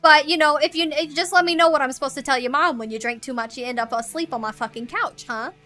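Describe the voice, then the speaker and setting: A woman's voice: high voice, fast, bright, forceful tone, faint music behind. A woman playing a brash, exasperated nagging mom with a nasal New York accent, combative and repetitive. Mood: bossy. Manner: combative, repetitive, swearing, mock-offended.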